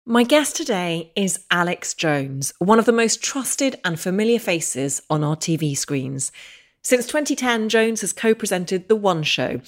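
A woman hosting a podcast, reading a scripted introduction and then interviewing in a measured, earnest way. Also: mid-range voice. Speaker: podcast host.

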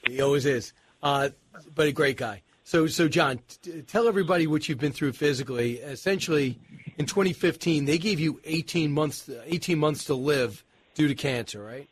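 Husky, jokey male host. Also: a little high, normal speed. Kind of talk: casual interview.

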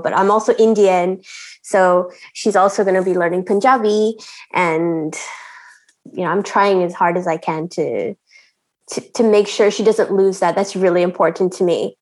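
A woman's voice: fairly low pitch, a little mellow. A woman from Canada chatting as a podcast guest, laughing often and restarting her phrases as she talks. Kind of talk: casual chat.

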